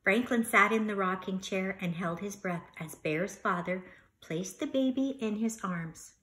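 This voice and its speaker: Slow-paced woman reading a children's storybook. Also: mid-range voice, low-quality recording. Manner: expressive, instructional, pausing to comment. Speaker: teacher reading a storybook aloud. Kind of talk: read-aloud children's storytime narration.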